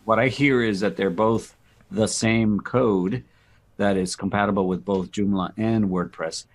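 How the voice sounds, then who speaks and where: Gravelly, lowish-voiced man explaining things. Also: fairly even intonation, a little slow. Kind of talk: casual technical discussion on a video call. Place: online video meeting.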